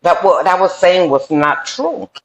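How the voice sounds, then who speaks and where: A woman's voice: on the low side, expressive, unhurried, highs cut off. A middle-aged woman who grew up in New York and speaks Spanish first, with a Spanish and New York accent. She is a podcast guest telling her life story earnestly, with frequent ums and restarts. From New York City. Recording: roomy echoey room sound.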